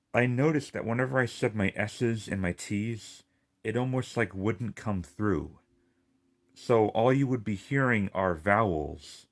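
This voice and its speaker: Lisping man in a slow, low rant. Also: fairly bright tone. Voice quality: lisp. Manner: frustrated, rambling, swears. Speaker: gaming video creator. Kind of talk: casual ranting vlog.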